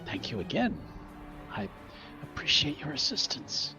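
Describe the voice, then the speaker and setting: A man playing a courteous, charming dwarf gentleman in a tabletop game, polite and gallant. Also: on the high side, wildly swooping pitch, unhurried, over music. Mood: polite, grateful.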